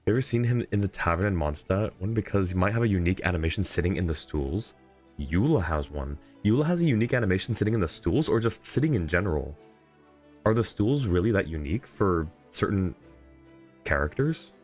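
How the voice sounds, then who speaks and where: A man chatting casually as a game streamer, giving relaxed livestream commentary. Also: sits low, a little fast. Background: game music.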